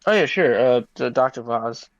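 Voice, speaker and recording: A man's voice: medium pitch, animated, normal speed, no echo. A young man hosting a podcast, laid-back and casual, often saying "like". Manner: laid-back, heavy use of fillers like "like", admits knowing little. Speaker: podcast host. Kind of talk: casual chat. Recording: dull, muffled dry mic.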